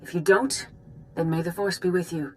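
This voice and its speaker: Actress as resolute Jedi leader, lowish voice, grave, formal, authoritative, game cutscene, faint music. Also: female voice, fairly even intonation, normal speed, lightly acted. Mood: warning. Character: calm, resolute, authoritative female Jedi grand master. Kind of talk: video game voice acting.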